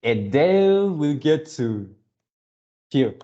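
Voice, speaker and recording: Male fan commentator, mellow and unhurried, halting delivery that circles back on words. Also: fairly high pitch, sing-song, lo-fi sound. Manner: halting, repeats words and searches for phrasing. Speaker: video creator reacting to a game series. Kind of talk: casual fan reaction commentary.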